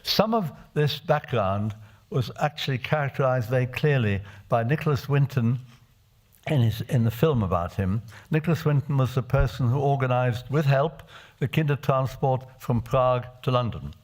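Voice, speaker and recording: A man's voice: mid-range voice, lively intonation, darkish tone. Elderly Czech-born male legislator, testimony speech in a chamber, mid-range voice, hesitant with restarts. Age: older. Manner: reflective, halting with many restarts. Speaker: parliamentarian. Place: assembly chamber.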